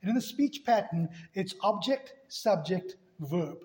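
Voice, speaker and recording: A man's voice: sits high, expressive, a little slow, darkish tone. A man preaching a sermon in a church, emphatic, hammering key words again and again. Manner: emphatic, repeats key words with rising force. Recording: echoey room.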